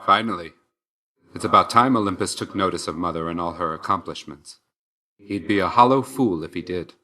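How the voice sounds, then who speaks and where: A stern young god of death for a video game. His voice sits low. He speaks with aloof, dry restraint, brooding and measured in every line. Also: sharp tone. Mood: reserved. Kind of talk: scripted game character dialogue.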